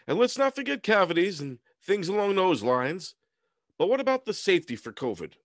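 Man playing a high-voiced, earnest news anchor. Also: big pitch swings, fairly quick, no room sound, studio quality. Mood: mock-serious. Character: polite, earnest male breaking-news anchor in a parody. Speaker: news host. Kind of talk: mock news anchor delivery.

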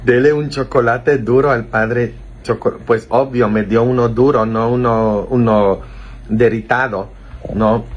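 A man's voice: mid-range voice, steady tone, a little slow, breathy edge, dull audio. A man in his thirties, a priest streaming live, chatting playfully and reading viewers' comments aloud from a small office into a phone held close. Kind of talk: casual live-stream chat. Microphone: phone held close to the face.

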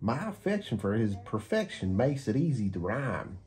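A man's voice: lowish voice, very animated, moderate pace, darkish tone. A man voicing an earnest, admiring little gecko sidekick in a children's storybook.

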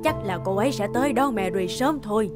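A woman's voice: mid-range voice, lively intonation, quick pace, lightly acted. Woman voicing a kindly older cartoon auntie, music behind. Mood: coaxing, reassuring. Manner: sympathetic, coaxing. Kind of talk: dubbed cartoon dialogue.

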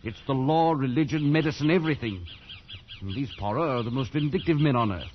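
A man's voice: medium pitch, fairly quick, lightly acted. An actor playing a stern, worldly colonial camp man in a post-war radio drama, speaking with authority and grim caution. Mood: grave warning. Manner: authoritative, admonishing. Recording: dull, noisy vintage radio recording.

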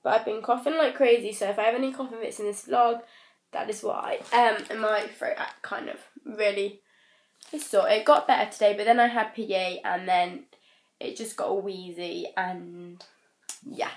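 A young woman with a croaky cold voice, chatting casually to a camera held at arm's length. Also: mid-range voice, steady tone, normal speed. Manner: rambling, chatty, lots of "like" and "so". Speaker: vlogger. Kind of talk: casual vlog chat. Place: bedroom, then parked car. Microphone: handheld camera at arm's length.

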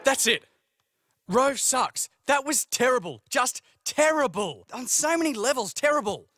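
Sharp, grumbling young Australian housemate acted by a man. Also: extremely high pitch, very animated, a little slow, no echo, exaggerated voice. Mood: disgruntled. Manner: disgruntled, defensive.

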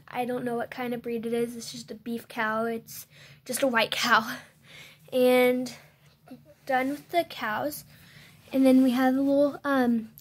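Young girl's high, slow toy tour. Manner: hesitant, many ums and self-corrections. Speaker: channel host giving a toy barn tour. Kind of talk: unscripted show-and-tell tour. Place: indoors at a toy model farm. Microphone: handheld phone filming close.

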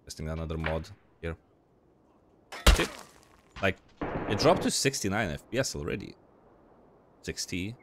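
A man's voice: low voice, expressive, unhurried, pauses often. A young man with a cold and a slightly crackly voice, rambling casually with lots of fillers as he explains game settings over his gameplay. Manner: rambling, informal, frequent fillers and restarts. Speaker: gaming channel host.

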